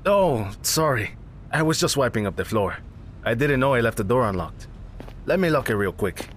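A man's voice: mid-range voice, moderate pace, slight background noise, natural voice. A man playing a friendly, dramatic young cafe owner, a loyal best friend, in an audio drama. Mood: friendly, apologetic. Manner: expressive, teasing, emphatic. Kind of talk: naturalistic audio drama acting.

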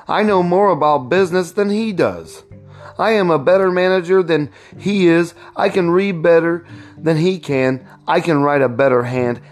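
A man's voice: fairly high pitch, pitched above the performer's own voice. A man reading a storybook, voicing a proud, indignant young enslaved husband in an emphatic, aggrieved way. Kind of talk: storybook read-aloud.

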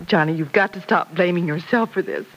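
A woman's voice: fairly low pitch, a little fast, poor audio. A motherly middle-aged woman in a vintage radio drama. She speaks in a soothing, earnest way, anxious yet forgiving, on an old broadcast recording. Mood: anxious, consoling.

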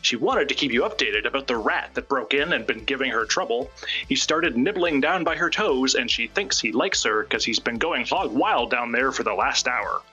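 A man's voice: mid-range voice, animated, moderate pace, bright, forceful tone, slightly rough, muffled recording, soft background music. A man voicing a folksy, manically cheerful and gleefully macabre radio personality in old-time host patter. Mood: cheerfully macabre.

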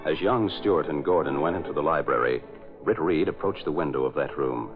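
Deep-voiced old radio serial narrator. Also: male voice, a little fast. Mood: dramatic. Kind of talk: 1940s-style radio narration. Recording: tinny vintage radio with music.

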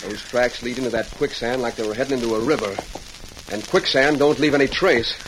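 A mid-pitched man, acting a practical, gruff frontier sheriff. He talks in a folksy, clipped cowboy manner in a vintage radio western. Heavy noise covers the recording. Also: fairly quick, a bit bright, close to an everyday voice, low-quality recording. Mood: wary, puzzled.

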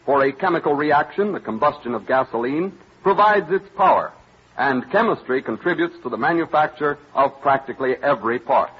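An American man reading formal, scripted copy as a 1930s radio announcer, in measured oratorical phrases. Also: medium pitch, animated, slightly rough. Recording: muffled vintage 1930s radio broadcast.

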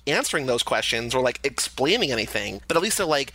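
A man co-hosting a podcast, picking apart a movie in casual chat, animated and rambling. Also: mid-range voice, quick pace, a little hiss or hum, rough recording. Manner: animated, rambling with many "like" fillers. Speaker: podcast co-host.

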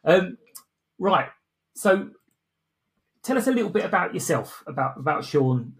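A male podcast host with a slightly higher-pitched voice. He chats casually and jokes at his own expense, keeping the interview informal. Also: sing-song, a little slow, fairly bright tone. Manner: jokey, self-deprecating, chuckling.

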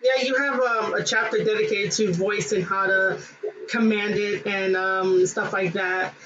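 A casual podcast host who agrees warmly and asks easygoing questions full of "um" and "like." The recording is a little dull, with a faint hiss behind it. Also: mid-range voice, fairly even intonation, unhurried. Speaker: interview host.

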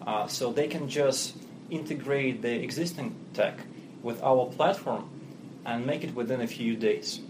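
A man's voice: medium pitch, measured pace, noisy background. A man from Russia with a Russian accent, a company chief speaking as a podcast guest in plain businesslike talk with many fillers. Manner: fluent but non-native phrasing, businesslike, frequent fillers. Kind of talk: podcast interview.

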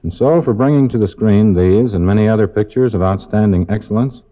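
A man's voice: on the low side, brisk. A man giving a formal, scripted award presentation on 1950s radio as a magazine editor. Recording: thin, phone-like old radio recording.

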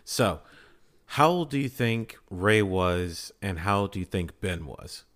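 A man's voice: deep voice, animated, takes it slowly, a bit bright. A man on a podcast panel talking informally, with emphatic, punchy reactions. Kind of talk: casual chat.

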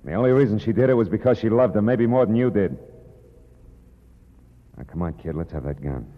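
An actor playing a hard-boiled, world-weary private eye who narrates in a dry, brooding tone, in a 1940s radio drama. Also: male voice, lowish voice, animated, brisk. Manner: dry, brooding, tough-talking. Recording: muffled old radio broadcast with music.

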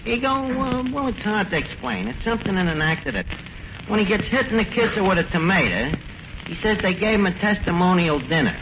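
An actor playing a brash, slangy New York tavern manager who bluffs with mangled grand words in a 1940s radio comedy. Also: male voice, sits high, expressive, brisk. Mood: pompous, bluffing. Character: brash, fast-talking tavern manager full of big schemes. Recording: noisy, muffled 1940s radio broadcast.

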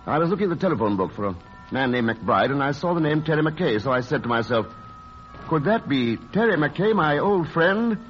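A man's voice: on the high side, very animated, a little fast. Man for old radio romance: wounded, wry suitor, hesitant banter, sweeping intonation, muffled broadcast with music underneath. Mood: awkward, polite. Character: wounded, wry romantic painter visiting his lost love. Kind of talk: classic radio drama acting.

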